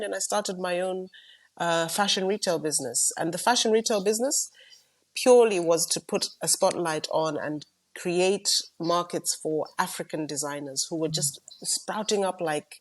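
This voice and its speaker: A middle-aged woman from Malawi, co-hosting a podcast and telling her story in a measured, reflective way. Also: lowish voice. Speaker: podcast co-host. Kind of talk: casual chat.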